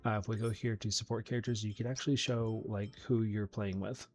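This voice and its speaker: Low-voiced man narrating his gameplay. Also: steady tone, fairly quick. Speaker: gaming video host. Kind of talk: casual gameplay commentary.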